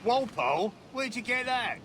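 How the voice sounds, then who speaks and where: An actor playing a bloodthirsty lowly guard in a video game, with a mocking, coarse, colloquial manner. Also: male voice, sing-song, normal speed, a bit bright, heavily acted. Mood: taunting. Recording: noisy outdoor ambience behind.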